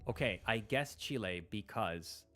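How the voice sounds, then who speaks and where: A man's voice: on the low side, animated, measured pace, sharp tone. Stream host, man in his thirties, first language Spanish, casual and hesitant, faint music under the voice. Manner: thinks aloud, hesitant, many fillers and restarts. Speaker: livestream host. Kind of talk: casual live-stream commentary while playing. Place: small room at a desk. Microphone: close studio mic on a stand.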